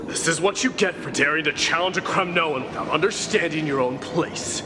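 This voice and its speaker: An imperious male warrior acted by a man for a video game, haughty and combative. His voice sits a little high, with loud music behind it. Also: normal speed, lightly acted. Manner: haughty, formal. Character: proud, imperious warrior prince, stern and combative.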